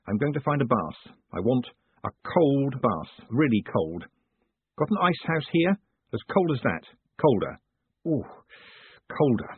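A man voicing a brash, smooth-tongued postmaster in an audiobook, talking in curt, bossy bursts. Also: mid-range voice, no echo, full character voice. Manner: curt orders in clipped bursts. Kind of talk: audiobook character dialogue. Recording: muffled, thin phone-like sound.

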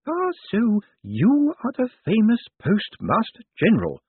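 Man doing a high swooping feisty old lady. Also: wildly swooping pitch, measured pace, narrow, tinny audio, slight background noise, heavily acted. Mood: appraising. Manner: direct, gleeful, imperious.

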